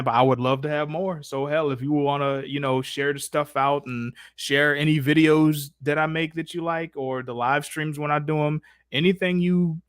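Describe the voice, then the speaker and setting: A man's voice: medium pitch, clear, resonant tone, no echo, studio quality. An American man hosting a casual live stream, chatting in an effusive, slangy, profane way.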